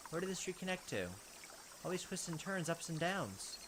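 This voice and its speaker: A man's voice: high voice, sing-song, moderate pace, background noise. A man hosting a let's play, reading the game's narration aloud and adding wry comments in between. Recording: game audio with loud cricket ambience.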